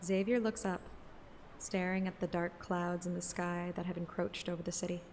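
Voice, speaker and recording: A woman narrating a scripted present-tense story in a measured, descriptive way. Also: fairly low pitch, level tone, darkish tone, slight background noise. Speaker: audiobook narrator and streamer. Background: music.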